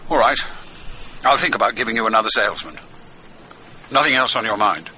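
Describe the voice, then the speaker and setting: An actor with a British accent playing a brisk, authoritative intelligence chief, terse and formal, heard as a telephone voice in a radio drama. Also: male voice, lowish voice, lively intonation, sharp tone, lo-fi sound, slight background noise. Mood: brisk, probing.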